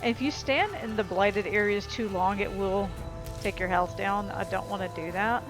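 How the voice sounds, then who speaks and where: A woman's voice: medium pitch, moderate pace, rough recording. Woman thinking aloud while gaming, music behind. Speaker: video game streamer. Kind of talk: casual gameplay commentary. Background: video game music and sounds.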